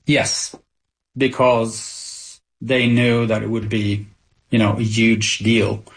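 A man's voice: on the low side, little pitch movement, slow pace, slightly rough, rough recording. A middle-aged man whose first language is Swedish, a company founder answering radio interview questions candidly and searching for words at times.

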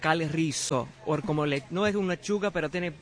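A young man from Chicago with a Puerto Rican Spanish accent, a culinary student being interviewed outdoors, earnest and warm, repeating words for emphasis. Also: a little high, brisk. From Chicago, of Puerto Rican heritage. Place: outdoor parking lot.

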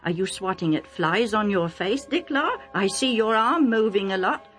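A woman voicing a calm, firm old male chieftain in a children's story, speaking slowly with measured authority. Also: mid-range voice, soft background music, voice pushed up, close to an everyday voice. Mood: stern, calm.

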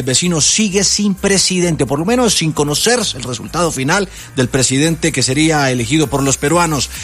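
A man with a Colombian Spanish accent anchoring a midday radio news show, lively and personable, with frequent fillers as he talks. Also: fairly high pitch. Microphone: studio microphone.

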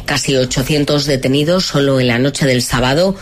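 A man reads a television news report in a steady, measured way. His voice sits at a middle pitch. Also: a little hiss or hum.

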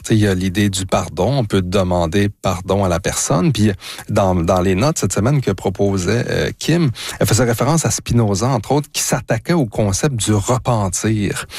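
A man with a Québécois French accent hosting a radio talk show, calm and curious, guiding the conversation. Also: fairly low pitch, no room sound, clean studio sound. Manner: calm, curious, reads quotes deliberately. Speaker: radio host. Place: radio studio. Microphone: studio microphone.

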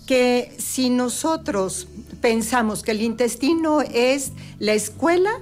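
A woman on a talk show, patiently explaining health topics with everyday examples. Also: on the high side. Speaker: health guest expert.

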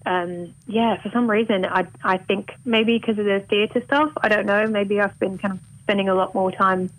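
A woman with a mild north Welsh accent softened toward English, a singer talking openly and chattily as a radio interview guest. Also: medium pitch, steady tone, normal speed, no echo, low-quality recording. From Wales. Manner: chatty and open, laughs easily.

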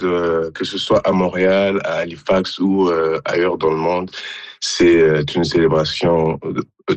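A man's voice: low voice, darkish tone, no echo, dull audio. A man from Congo, a musician guest on a radio interview, answering with many hesitations and restarts.

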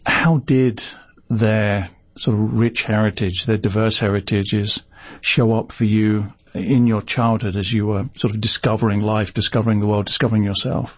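A man interviewing a poet with measured, courteous questions. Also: lowish voice, little pitch movement, no echo. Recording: dull, dry lo-fi sound.

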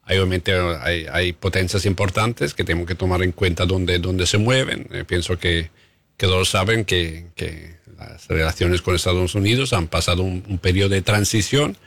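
Deep gravelly-voiced diplomat. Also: male voice, a bit bright, no room sound. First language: Italian. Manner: measured, diplomatic, with frequent hesitations. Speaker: guest, diplomat. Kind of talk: radio interview answers. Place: radio studio. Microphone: studio microphone.

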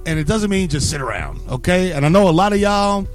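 High, animated New York radio man. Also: a little fast, soft background music. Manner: animated hype-man delivery with slang and laughs. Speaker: radio show host. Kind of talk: morning radio show segment, casual talk.

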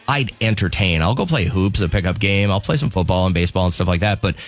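A man's voice: sits low, fast. A man in his fifties co-hosting a morning radio show, chatting casually and laughing easily. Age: 50s. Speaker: radio co-host.

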